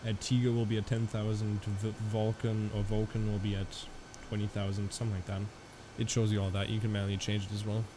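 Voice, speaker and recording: A man's voice: lowish voice, level tone, fairly quick, few pauses, noisy background. A man live-streaming a flight simulator, chatting casually with viewers and rambling through fillers and restarts.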